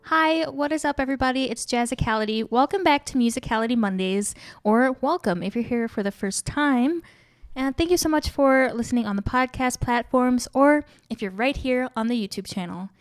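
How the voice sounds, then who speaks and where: A woman's voice: a little high, brisk, no room sound, clean studio sound. A woman in her twenties from Chicago, hosting a casual music podcast in a bubbly, giggly, slangy way. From Chicago, Illinois. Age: 20s. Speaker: podcast host.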